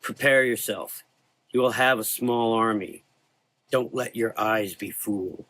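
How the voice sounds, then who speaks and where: A man playing a frail, weary old monster hunter in a gothic audio drama, solemn, restrained and quietly menacing. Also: on the low side, measured pace. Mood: tired.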